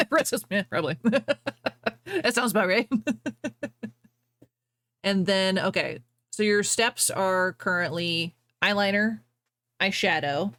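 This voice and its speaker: A woman's voice: medium pitch, slow pace, long pauses, a bit bright, dry recording. A woman hosting a casual livestream, talking in a playful, teasing way. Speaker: livestream host.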